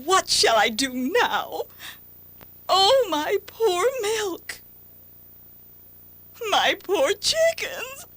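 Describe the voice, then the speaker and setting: High-voiced, theatrical young cartoon milkmaid. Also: female voice, fairly bright tone, dry recording, exaggerated voice. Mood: wailing, tearful. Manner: theatrical, drawn-out delivery. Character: vain, dreamy young milkmaid, melodramatic. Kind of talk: children's cartoon fable acting.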